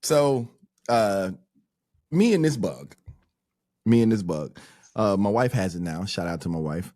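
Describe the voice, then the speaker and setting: A man's voice: on the low side, animated, unhurried, mellow. A man hosting a podcast, chatting casually in a loose, rambling way with lots of fillers. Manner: loose, rambling talk full of "like" and "um". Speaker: podcast host.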